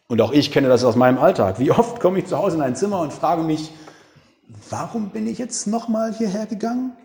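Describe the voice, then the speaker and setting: A man preaching a reflective sermon, with dramatic pauses, rhetorical questions and emphatic single words. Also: on the high side, darkish tone, in a large hall. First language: German.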